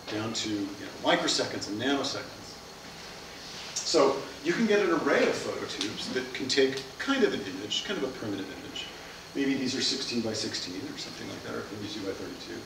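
A man's voice: medium pitch, far from the mic. A middle-aged man giving a science cafe lecture, explaining ideas by asking questions and answering them. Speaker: physics lecturer. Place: seminar room with projector screen. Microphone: far camera mic. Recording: echoey room recorded from afar, noisy.

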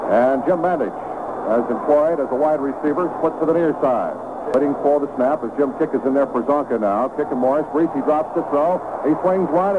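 Man, radio sportscaster, highish voice, clipped play-by-play calls, heavy noise, narrow tinny vintage broadcast. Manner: clipped, vivid present-tense calls, partisan for the home team. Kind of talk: live football radio commentary.

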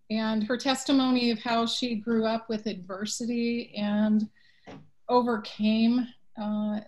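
A woman in her fifties introducing a guest speaker informally on a video call, pausing often as she goes. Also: on the high side, darkish tone. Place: living room at home, indoors. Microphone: computer mic on a video call. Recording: dull, lo-fi video call audio.

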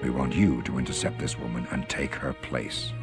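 An actor playing a courteous middle-aged intelligence colonel, giving a formal, measured briefing in a game cutscene. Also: male voice, a bass voice, level tone, over loud music. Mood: formal, frank. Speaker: intelligence officer briefing an agent.

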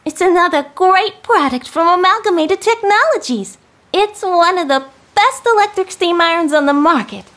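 An actress playing a sassy young 1950s housewife in an arch, theatrical radio drama style. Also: female voice, very high-pitched, lively intonation, moderate pace, a bit bright. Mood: salesy, perky.

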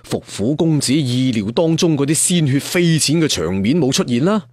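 A man's voice: mid-range voice, lively intonation, normal speed, darkish tone, dry recording, clean studio sound. A man telling a story theatrically, like a traditional serial storyteller with asides to listeners. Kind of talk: dramatic Cantonese audiobook storytelling.

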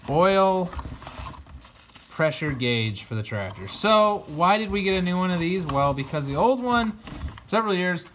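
A man's voice: sits high, sing-song, unhurried, fairly bright tone, slight background noise. A young man talking to viewers in an easygoing way as he opens a box of tractor parts in a farm workshop, filmed on a close phone camera. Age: young adult. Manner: easygoing, talks to viewers while handling parts. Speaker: farm vlogger. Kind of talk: casual unboxing and shop talk. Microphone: phone camera held close.

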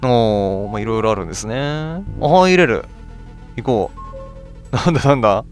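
A man's voice: mid-range voice, normal speed, faint music behind. A man hosting a let's play, chatting casually to viewers and reading bits of on-screen text aloud as he goes.

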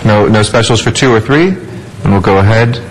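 A man in his thirties chairing a city council meeting from a desk microphone in a large chamber, brisk and procedural with relaxed fillers. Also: fairly low pitch, a little hiss or hum, poor audio. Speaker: council president.

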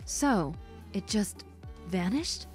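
A woman playing a keen, earnest young police detective in an amateur animated film. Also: mid-range voice, extremely slow, faint music behind. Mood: questioning. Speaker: voice actor in a fan animated film. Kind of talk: scripted amateur dramatic dialogue.

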